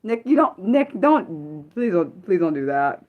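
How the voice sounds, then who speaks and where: A woman in her thirties hosting an unscripted livestream, chatting casually with viewers and reading comments, rambling and correcting herself as her energy swings between excited and subdued. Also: fairly low pitch, unhurried, phone-quality sound. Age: 30s. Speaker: livestream host.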